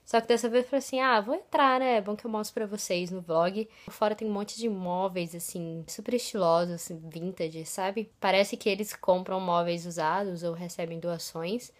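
A woman's voice: mid-range voice, moderate pace, few pauses. A young woman vlogging casually from her bedroom, chatty and laughing often, a bit stuffy from a cold. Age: young adult. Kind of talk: casual vlog chat. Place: small bedroom at home. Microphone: phone camera at arm's length.

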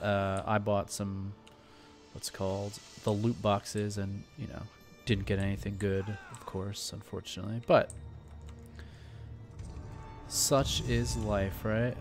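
A man hosting a casual let's play, thinking aloud in a laid-back way. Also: fairly low pitch. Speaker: gaming video host. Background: faint game music.

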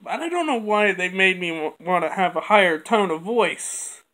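A home video maker talking through plush toys in a quiet, halting, mumbled way. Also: medium pitch, expressive. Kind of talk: plush toy skit with puppet dialogue. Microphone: camera on a bedroom floor.

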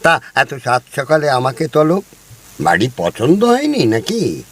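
একজন অভিনেতার করা ধূর্ত বাড়িওয়ালা. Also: male voice, mid-range voice, wildly swooping pitch, measured pace, husky, exaggerated voice. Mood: hearty. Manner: leisurely, bargaining tone. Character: shrewd middle-aged male landlord, boastful. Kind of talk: radio play acting.

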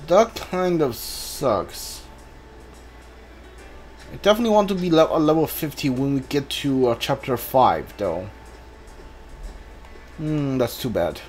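A man's voice: mid-range voice, expressive, unhurried, pauses often, faint music behind. A man giving casual playthrough commentary as a video game streamer, muttering to himself and talking back to the game.

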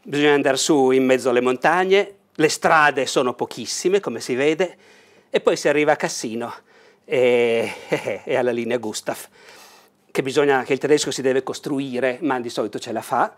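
An older man presenting a board war game in a bright indoor room, talking with enthusiasm, leaning over the table and gesturing as he explains. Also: mid-range voice, moderate pace. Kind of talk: enthusiastic unboxing talk.

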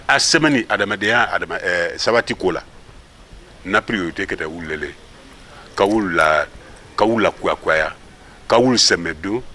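An older man from Guinea speaks close to the camera in a small room. His voice sits low but has a bright edge. He sets out his points earnestly, one after another. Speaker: interviewee. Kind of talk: vox pop answer. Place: indoors, small room with curtains.

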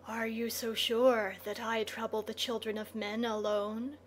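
Storybook scorpion villain played by a woman: quiet, restrained, slow, coolly threatening. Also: fairly high pitch, flat delivery, a little hiss or hum, natural voice. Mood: cold, menacing. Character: sinister, coolly menacing scorpion of the darkness.

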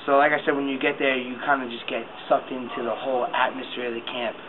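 Casual male, early twenties, mid-pitched, dull camera audio over noticeable background noise. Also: flat delivery, fast. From Long Island, United States. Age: 20s. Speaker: college fraternity volunteer. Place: indoor lounge. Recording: muffled camera audio, noisy room.

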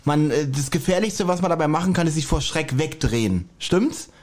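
A man's voice: on the high side, animated, normal speed, darkish tone. A man co-hosting a podcast with casual, joking banter. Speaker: podcast co-host.

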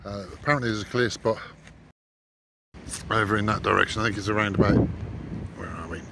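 A man's voice: fairly quick, creaky. A man, talking casually to camera as an angling vlogger, in a hesitant, rambling way. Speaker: angler vlogger. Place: in a moving car, later outdoors by a lake. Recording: noisy, wind and road rumble.

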